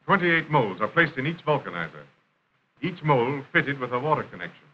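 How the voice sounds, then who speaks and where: An American man reading scripted narration for a 1930s industrial film in a formal, measured announcer style. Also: medium pitch, sing-song. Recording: dull, noisy 1930s film soundtrack.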